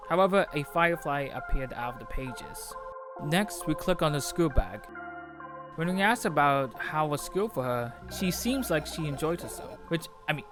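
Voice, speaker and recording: Higher-pitched man narrating over clear music. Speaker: video essayist narrator. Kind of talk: scripted narration with casual asides. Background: background music.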